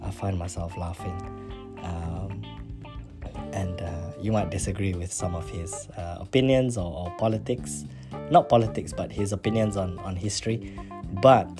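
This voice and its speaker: A man from Malaysia hosting a podcast, talking in a relaxed, chatty way with lots of fillers. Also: low-pitched, normal speed. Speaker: podcast host. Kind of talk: casual spoken intro and interview. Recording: guitar music under the voice.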